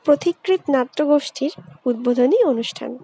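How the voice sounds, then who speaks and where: A woman's voice: high-pitched, normal speed, husky, dry recording, studio quality. A woman narrating a vlog in a scripted style, as its host. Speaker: vlogger. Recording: loud music over the voice. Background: loud music.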